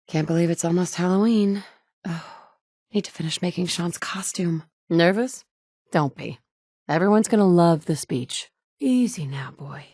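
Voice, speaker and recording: A woman's voice: fairly low pitch, darkish tone, no echo. A woman playing a determined mother turned hardened wasteland survivor, speaking firm, direct lines of game dialogue. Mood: warm. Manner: firm, direct, dry and commanding. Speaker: player character voice. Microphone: studio mic, close.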